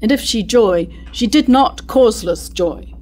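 A woman playing a queen's loyal, argumentative noblewoman mother, giving measured, formal verse in a staged reading of a tragedy. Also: on the high side, expressive, darkish tone. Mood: firm, defensive. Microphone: stand microphone at lectern.

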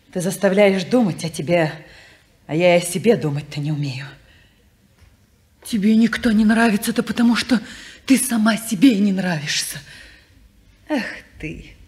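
An actress playing a restless, teasing young woman in her twenties in a 1950s radio play, playful and capricious, dropping to hushed whispers. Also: on the low side. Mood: wistful. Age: 20s.

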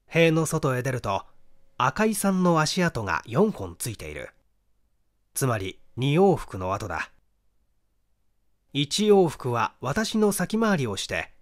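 A man giving a scripted narration of a mystery novel, in a dramatic, deliberate storytelling manner. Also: medium pitch. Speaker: audiobook narrator, stage actor.

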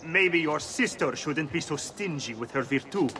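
A man's voice: a little high, lively intonation, moderate pace, bright, forceful tone, slight background noise. A man playing an arrogant, sneering young Italian bully in a video game, with an Italian accent and a boastful manner. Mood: taunting, sneering. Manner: taunting, boastful. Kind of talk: dramatic video game acting.

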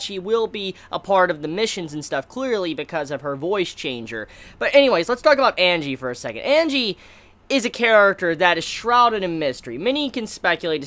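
A man's voice: sits high, bright, forceful tone. An American man in his twenties giving a casual, rambling review to camera with emphatic stresses. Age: 20s. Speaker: anime reviewer. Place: small indoor room lined with bookshelves.

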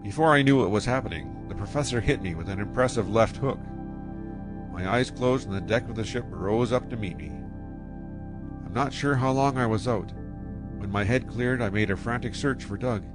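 A man's voice: on the low side, moderate pace, music in the background, lightly acted. An actor playing a dutiful male spaceship captain who also narrates, earnest and at times frantic. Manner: earnest, rising to frantic shouting. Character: male ship captain Ray, dutiful, anxious. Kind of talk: audio drama acting and first-person narration.

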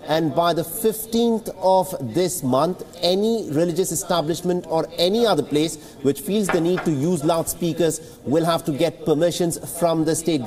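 Male correspondent, fairly high voice, explanatory newsroom commentary, reverberant studio. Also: darkish tone. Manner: earnest and explanatory, repeats words while building points. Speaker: news correspondent.

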